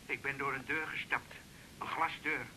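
A man's voice: mid-range voice, steady tone, normal speed, bright, forceful tone, slightly rough. An actor playing a drunk, insistent male patient speaking through a telephone effect in a radio drama. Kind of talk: 1980s Dutch radio drama acting. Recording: thin, noisy telephone sound.

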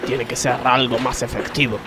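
A man acts a scheming workers' ringleader in an Argentine radio comedy. He is persuasive and grandiose in a mid-pitched male voice, like a plotter rallying his crew. Music plays behind him. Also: fairly even intonation, moderate pace, natural voice. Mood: conspiratorial, grandiose. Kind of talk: comedic radio play acting.

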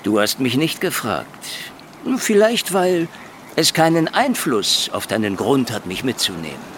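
Sardonic hard-boiled detective, swinging pitch. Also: male voice, sing-song, unhurried, a bit bright, a little hiss or hum. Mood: sardonic, probing. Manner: ironic, drawling taunts and mock endearments. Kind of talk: radio play acting with noir first-person narration.

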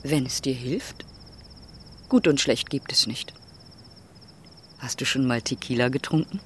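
A woman's voice: very deep voice, measured pace, noisy background. Radio drama role: a candid, probing woman, night insects faintly behind. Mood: calm, probing. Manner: direct, probing.

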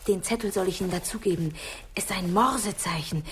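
Casting: actress for a dutiful hotel maid, medium-pitched, polite and timid, in a noisy old radio thriller. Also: female voice, quick pace, fairly bright tone, close to an everyday voice. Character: meek, dutiful hotel waitress. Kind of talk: 1960s German radio crime drama acting.

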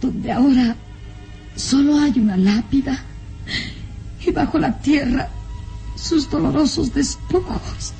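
Sad young daughter in old radio drama, slow, mellow, melodramatic grief, faint music. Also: female voice, high voice, creaky, heavily acted. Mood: mournful. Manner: mournful, melodramatic. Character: sorrowful young daughter mourning her mother. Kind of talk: classic radio drama acting.

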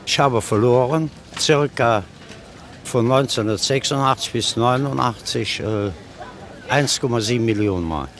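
A man's voice: mid-range voice, normal speed. A middle-aged market trader, a man, recounting his own experiences in a plain-spoken way. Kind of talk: personal recollection in conversation.

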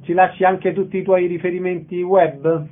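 A man's voice: high-pitched, little pitch movement, moderate pace. A man interviewing a guest in a conversational radio style. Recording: thin phone-like sound, heavy noise, clicks.

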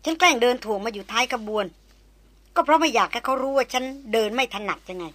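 A woman reading a cold, sharp-tongued Western woman. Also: a little high, expressive, quick pace, no room sound. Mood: guarded. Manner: cold, clipped, formal.

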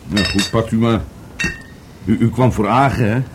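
A man's voice: on the low side, normal speed, very noisy, lightly acted. An actor in a Dutch radio play playing a drunken, bitter divorced man who rambles, stammers and repeats himself. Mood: tipsy, gruff. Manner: stammering, repetitive, tipsy.

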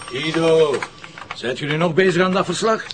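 An actor playing a dry, wry middle-aged police detective in a radio drama, with an informal manner and a Flemish accent. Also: male voice, fairly high pitch, moderate pace, heavy background noise, lightly acted. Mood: wry, teasing.

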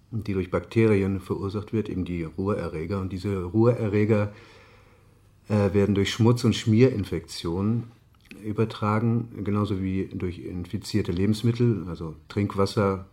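A man playing a physician giving a halting expert explanation in a radio play interview, with many ers. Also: fairly low pitch, warm tone, lightly acted. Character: hesitant male physician explaining disease as studio expert.

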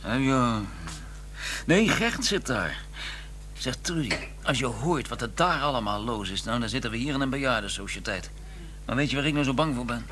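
An actor playing a brooding, world-weary detective in a radio drama, hesitant and musing, with a Dutch accent. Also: male voice, mid-range voice, animated, normal speed, pushed and bright, a little hiss or hum. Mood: gloomy. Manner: hesitant, absent-minded, musing.

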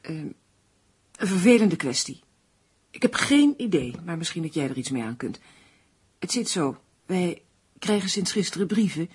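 A woman's voice: on the low side, very animated, normal speed, darkish tone, husky, full character voice. An actress in a radio play playing a guarded, uneasy woman who speaks hesitantly and tersely.

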